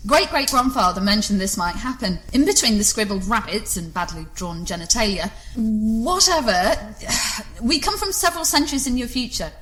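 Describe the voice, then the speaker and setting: A woman playing an eccentric, blithely confident time traveller in a comic audio drama, brisk, dry and bossy. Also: a little high, animated, breathy edge, poor audio. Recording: loud music behind the voice.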